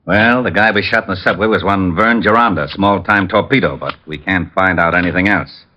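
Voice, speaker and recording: A man's voice: low-pitched, brisk, pushed and bright. A man playing a grumbling, sarcastic police lieutenant in an old-time radio detective drama. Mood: businesslike. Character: gruff, exasperated male police lieutenant. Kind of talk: 1940s-50s radio detective acting. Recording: muffled vintage radio recording.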